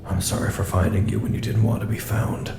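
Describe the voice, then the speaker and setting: A man acting in an audio drama as an anguished, guilt-ridden worker at a doomed secret facility, halting and earnest. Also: lowish voice, level tone, vocal fry, roomy sound, noisy background. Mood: awkward, gentle.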